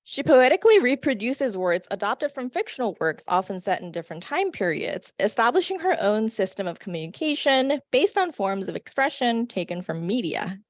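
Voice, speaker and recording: A woman presenting an academic paper at a conference, reading in a measured way with frequent "uh" fillers and restarts. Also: a little high, big pitch swings, no room sound. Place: conference session.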